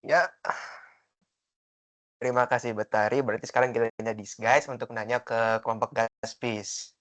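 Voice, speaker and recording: A man's voice: medium pitch, fairly even intonation, moderate pace, fairly bright tone. A young man acting as student moderator of a group call, asking questions in an informal, hesitant way. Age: young adult.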